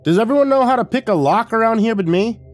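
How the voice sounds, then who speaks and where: A man performs an anxious young man in an audiobook, pitched up high and squeaky. He is sarcastic and easily flustered, his exasperation pushing toward a screech. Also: expressive, quick pace, faint music behind. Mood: alarmed, suspicious. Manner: squeaky, stammering, screeching when angry. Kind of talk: audiobook character voice.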